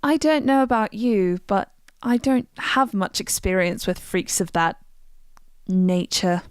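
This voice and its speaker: Woman acting a wry fantasy game adventurer, clean smooth voice, very dry close sound. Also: mid-range voice, animated, measured pace, no echo, lightly acted. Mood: wry, measured. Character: woman adventurer, wry storyteller of her misfortunes. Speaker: voice actor for a game mod. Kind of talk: scripted game character dialogue.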